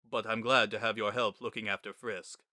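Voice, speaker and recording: A man voicing a large, kindly monster king who speaks low and soothing. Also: flat delivery, normal speed, pushed and bright, no room sound, clean studio sound.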